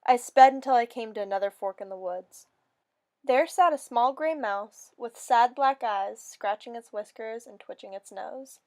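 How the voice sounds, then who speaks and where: High-voiced woman dramatically telling a story. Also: moderate pace. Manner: theatrical, expressive first-person narration. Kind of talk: dramatic reading of a fairy story.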